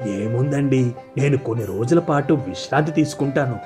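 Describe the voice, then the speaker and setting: Man playing a gentle older schoolteacher over music. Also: mid-range voice, lively intonation, normal speed, close to an everyday voice. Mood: resigned, gentle. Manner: resigned, polite. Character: gentle, philosophical older retiring male schoolteacher. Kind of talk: animated moral story dialogue. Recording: music behind the voice.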